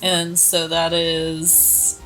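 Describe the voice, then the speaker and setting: A woman plays a tabletop game in casual table chat. She talks slowly in a slightly low voice, with music clearly playing behind her. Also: monotone, low-quality recording.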